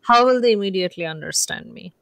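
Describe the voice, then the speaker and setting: A woman's voice: fairly low pitch, expressive, fast talker, creaky, fairly bright tone, dry recording, clean studio sound. A woman with an Indian accent, hosting a casual conversation. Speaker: podcast host.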